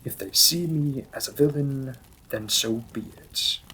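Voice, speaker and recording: A man's voice: medium pitch, slight background noise, low-quality recording. A man voicing a devoted demon king in a romantic fantasy roleplay, speaking intimately and formally with teasing, possessive affection. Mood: tender, protective. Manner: slow, intimate, formal wording, gently amused.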